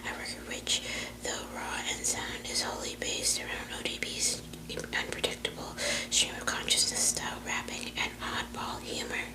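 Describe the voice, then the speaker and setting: A woman's voice: moderate pace, low-quality recording. A young woman whispering close to the mic, rambling, swearing freely, full of fillers. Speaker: vlogger. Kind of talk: whispered casual chat.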